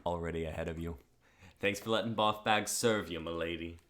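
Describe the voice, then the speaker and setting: Man playing a deep, sleazy smooth-talking wish-granter. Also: fairly quick, fairly bright tone. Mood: smooth, flirty. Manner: suave, flirty salesman.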